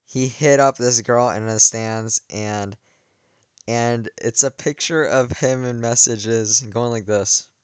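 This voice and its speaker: A young man in his late teens rambles through a story, leaning on "like" and restarting his sentences. His voice sounds slightly worn, and his pitch sits at an average level. The recording is dry and close, with no room sound. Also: fairly even intonation, slow, smooth, dry recording. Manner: rambling, many "like" fillers and restarts. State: voice worn from cheering. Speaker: vlogger. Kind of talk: casual storytelling.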